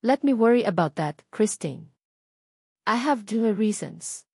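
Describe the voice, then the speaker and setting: Woman as a calm, firm young groom. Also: medium pitch, slow pace, husky, dry recording, studio quality, full character voice. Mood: calm, resolute. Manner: firm, unhesitating, courteous.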